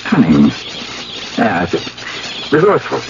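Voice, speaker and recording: A man playing an intense, earnest research scientist and devoted lover in a 1970s radio drama, speaking in a deliberate, lecturing manner. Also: mid-range voice, wildly swooping pitch, creaky, heavily acted. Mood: explanatory. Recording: muffled, very noisy old radio broadcast.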